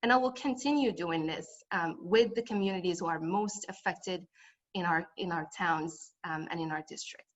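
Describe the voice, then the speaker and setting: A woman's voice: lowish voice, normal speed, lo-fi sound. A woman from Morocco speaking as a congressional candidate at a political forum, with emphatic, repetitive campaign phrasing.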